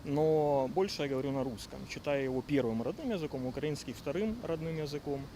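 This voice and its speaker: Brief: Ukrainian man, measured interview answer, street noise behind him. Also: fairly high pitch.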